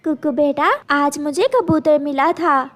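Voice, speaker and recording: Woman as a high-voiced, tender mother bird. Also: slow pace. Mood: coaxing. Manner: coaxing, affectionate, fretting. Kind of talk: children's cartoon dialogue.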